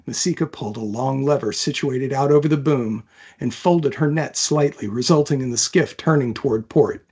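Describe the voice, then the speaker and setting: A man with a mid-pitched voice narrates as an author reading his own book. The delivery is dramatic storytelling, scripted and descriptive, in an audiobook style. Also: moderate pace, darkish tone, breathy edge.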